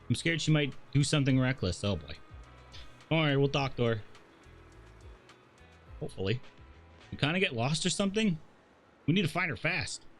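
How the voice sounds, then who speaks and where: Music plays faintly behind a man with a slightly high voice. He is a game streamer who reads story text aloud in a casual way, tripping over a word now and then. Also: fairly bright tone. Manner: stumbles while reading, swears casually. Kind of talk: livestream read-aloud of game dialogue with casual asides.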